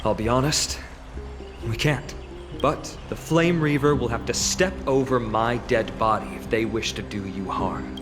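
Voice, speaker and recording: A man's voice: medium pitch, a little slow, lightly acted. A man playing an earnest, loyal young hero in video game dialogue, speaking sincerely and conversationally. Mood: resolute. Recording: reverberant, music behind.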